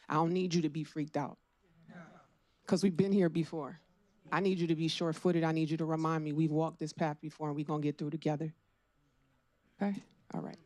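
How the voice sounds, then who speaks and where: A woman's voice: low voice, mellow, dry recording. A woman in her forties on a panel, earnest and exhorting the audience directly. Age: 40s. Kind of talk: panel talk.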